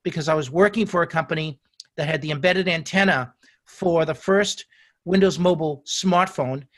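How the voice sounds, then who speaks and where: An older man answers interview questions at length, his voice sitting a little high for a man. He talks in a storytelling way, often restarting and correcting himself mid-phrase. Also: normal speed. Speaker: guest.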